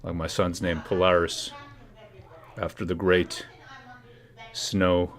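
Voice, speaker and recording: A man's voice: deep voice, flat delivery, takes it slowly. A man hosting a livestream, rambling sarcastically and talking over clips. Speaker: livestream host. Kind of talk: casual livestream commentary.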